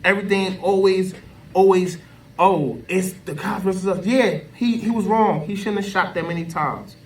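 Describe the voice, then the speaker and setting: A man's voice: high voice, normal speed, slight background noise. An American man giving an unscripted opinion in an emphatic, colloquial, repetitive way, as an online commentator. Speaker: social media commentator. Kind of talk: unscripted opinion rant to camera. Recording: voice distant from the mic.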